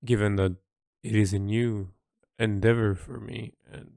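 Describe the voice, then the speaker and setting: A man speaks very slowly in a smooth, fairly low voice, recorded close and dry. He sounds like an artist keeping a personal audio log, reflective and unscripted. His phrases come haltingly, with thoughtful pauses as he works out what he means. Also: level tone, a little mellow, no echo.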